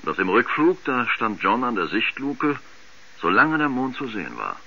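An actor playing a subdued, pensive man who speaks haltingly in a radio drama. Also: medium pitch, sing-song, sharp tone, slightly rough, highs cut off, heavily acted. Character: subdued, pensive man recalling a moon mission. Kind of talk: 1970s German radio drama acting. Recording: hissy, band-limited 1970s radio recording.

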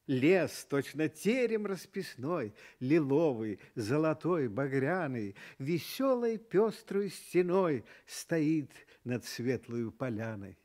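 A man's voice: a little high, takes it slowly, few pauses. An actor playing a kindly storyteller on a Soviet children's radio show, speaking lyrically and expressively to his young listeners. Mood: lyrical wonder. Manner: expressive, lyrical, addresses child as dear friend. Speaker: storyteller host.

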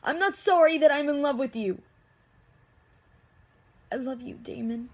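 A woman acting an anguished, lovestruck young heroine in amateur supernatural-drama monologues, with emotional, halting delivery. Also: sits high. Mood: defiant, loving.

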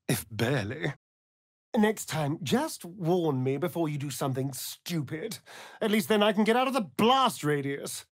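Sardonic vampire rogue, arch and theatrical, slow drawl, wildly swooping pitch, video game character, dry close sound. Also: male voice, dry recording, full character voice.

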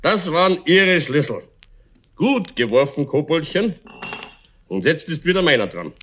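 Tipsy gentleman, playful, boastful, mock-formal, slightly high voice, very animated, children's radio play. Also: unhurried, a little hiss or hum.

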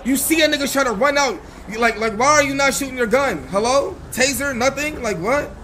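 A man's voice: very high voice, a little fast, pushed and bright, slight background noise. A man livestreaming a roleplay game with heated, slangy commentary, swearing and repeating himself in disbelief.

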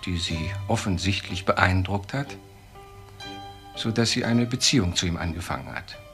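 A bitter husband in a crime radio drama, with a very low voice. He phrases things deliberately and ironically, with faint music behind him. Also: vocal fry, fairly bright tone. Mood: ironic, bitter. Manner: deliberate, ironic, choosing words carefully. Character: bitter, measured husband describing his wife's friendship. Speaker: actor in a crime radio play.

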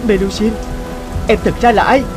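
A man's voice: sits high, steady tone, normal speed, close to an everyday voice, rough recording. An actor playing an earnest, anxious young fisherman in a fairy-tale cartoon, speaking with dramatic emotion. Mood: distressed. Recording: music and rain behind the voice.

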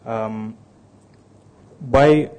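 A man's voice: mid-range voice, extremely slow, darkish tone. A man in his thirties from Ukraine with a Russian accent, giving a technical conference talk with many restarts and fillers. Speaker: conference speaker.

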